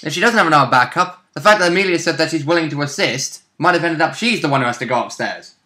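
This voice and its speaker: A man's voice: on the high side, lively intonation, fast, sharp tone. A man chatting casually as a player about a tabletop role-playing game, informally mimicking what was said.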